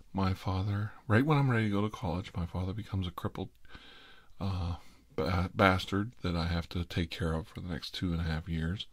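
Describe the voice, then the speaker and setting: A man's voice: low voice, dry recording. A middle-aged man telling personal stories informally, in a rambling, wry and sardonic confessional way.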